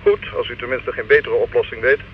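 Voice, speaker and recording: An actor playing an officious, sceptical legal official in an old radio drama, formal and reproving in manner. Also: male voice, medium pitch, fairly even intonation, fast, close to an everyday voice. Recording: dull, hissy old radio recording.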